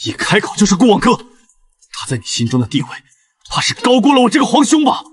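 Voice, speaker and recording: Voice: mid-range voice, huge pitch swings, fast, slightly rough. An actor playing a jealous, possessive emperor and elder brother in a historical drama. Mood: angry reproach. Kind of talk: dubbed historical drama acting.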